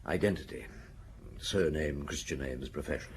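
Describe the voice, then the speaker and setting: An older man playing a seasoned, courteous police chief inspector in a radio drama, deliberate and patient as he narrates and questions. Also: very deep voice, a little hiss or hum. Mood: patient questioning. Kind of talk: radio drama acting and first-person narration.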